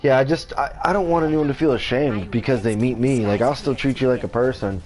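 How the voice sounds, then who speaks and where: An American man chatting casually over voice chat while gaming, talking haltingly with many restarts and fillers. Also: mid-range voice, darkish tone, rough recording. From United States. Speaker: streamer playing an online team game.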